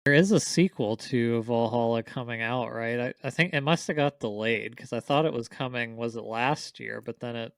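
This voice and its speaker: A man's voice: medium pitch, normal speed, vocal fry, hardly any pauses, no room sound. Male podcast panelist, clean resonant voice, informal conversational delivery, dry room. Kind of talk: casual chat.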